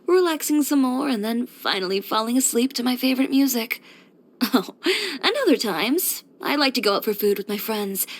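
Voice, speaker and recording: A woman's voice: a little high, lively intonation, normal speed. A young woman voicing a confident, blunt fashion designer and boutique owner in a scripted video game, with a poised, direct delivery. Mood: playful, relaxed. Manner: self-assured, direct, poised with dry sighs.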